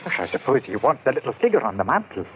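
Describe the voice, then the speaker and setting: An actor playing a canny, loyal manservant in an old time radio drama, speaking emphatically in quaint turns of phrase. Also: male voice, medium pitch, big pitch swings, quick pace, slight background noise, natural voice. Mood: watchful. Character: canny, loyal manservant, grimly urgent and superstitious. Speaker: supporting actor in a radio play. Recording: thin, phone-like old radio recording.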